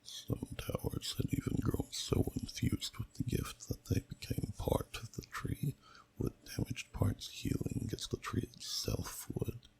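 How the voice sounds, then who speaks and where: A man voicing an ancient, solemn dragon who slowly tells a story in a deep, gravelly, breathy half-whisper, in ASMR style. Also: a little mellow, close to an everyday voice, rough recording. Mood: wistful, reverent. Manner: slow, solemn, deliberate, archaic phrasing. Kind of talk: ASMR storytelling, scripted narration.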